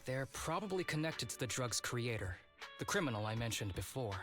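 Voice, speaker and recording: A man's voice: mid-range voice, very animated, a little fast, over music, close to an everyday voice. A man voicing a laid-back, teasing young executive officer in a video game, languid and theatrical. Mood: serious.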